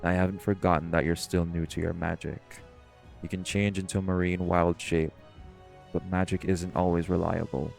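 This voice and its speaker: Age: adult. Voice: very deep, gravelly male actor as stern shifter. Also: little pitch movement, normal speed, faint music behind. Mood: serious, cautioning. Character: stern, protective male marine shifter, bossy diving leader. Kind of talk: scripted audio roleplay acting.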